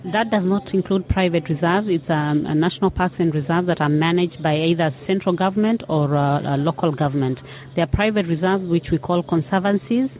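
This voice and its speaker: A woman from Kenya answering interview questions for a tourism board, earnest and halting, with many fillers and repeated words. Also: lowish voice. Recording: phone-like narrow band.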